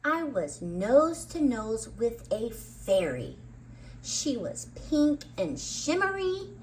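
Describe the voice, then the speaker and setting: A woman's voice: a little high, very animated, slow pace, slight background noise, rough recording. A woman hosting story time, narrating a children's book expressively with dramatic emphasis on words. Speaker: children's story reader and host.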